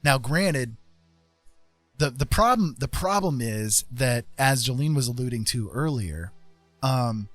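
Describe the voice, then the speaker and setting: A man's voice: mid-range voice, animated, unhurried, a bit bright, dry recording. A man chatting casually as a livestream host, explaining things in a rambling, emphatic way and repeating phrases for stress. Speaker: streamer host. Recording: music playing behind the voice. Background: music.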